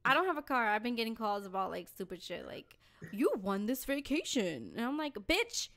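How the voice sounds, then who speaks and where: A woman's voice: on the high side, big pitch swings, normal speed, fairly bright tone. A woman co-hosting a casual podcast, blunt and swearing freely as she complains. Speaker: podcast co-host.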